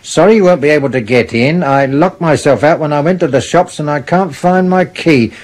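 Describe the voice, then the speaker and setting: A man's voice: on the high side, fairly quick, a little mellow, lightly acted. An actor playing a wry, teasing working-class father in 1950s British radio comedy. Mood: puzzled, reassuring. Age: middle-aged. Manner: dry, teasing.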